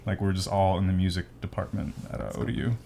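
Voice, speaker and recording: A man's voice: low-pitched, fast talker, creaky, crowd noise. A man from Brooklyn co-hosting a podcast, tossing in offhand jokes during casual chat. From Brooklyn, New York. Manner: joking, offhand asides.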